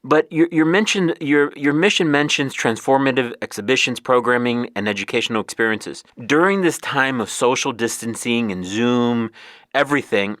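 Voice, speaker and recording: A man's voice: mid-range voice, fairly bright tone, dry recording, studio quality. A man hosting a radio interview show, reading introductions with some stumbles and repeated words.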